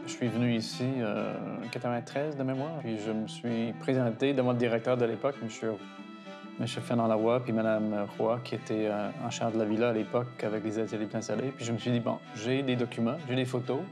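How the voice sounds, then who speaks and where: A middle-aged man from Toronto whose first language is English, speaking French with an English-Canadian accent as an interview guest, often halting and restarting. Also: medium pitch, level tone, music in the background. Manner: hesitant, halting, frequent restarts. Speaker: garden director, interview guest. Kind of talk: casual interview conversation.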